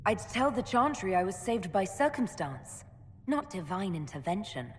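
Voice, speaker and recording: An actress playing a composed, direct elf mage heroine in a video game. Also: female voice, medium pitch, sing-song, normal speed, lightly acted. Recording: reverberant room, faint background noise.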